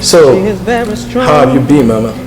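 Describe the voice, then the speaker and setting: A man's voice: mid-range voice, moderate pace, reverberant, over loud music. A man hosting a podcast interview, playful and teasing, laughing often. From Nigeria. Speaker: podcast host. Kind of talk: casual interview chat.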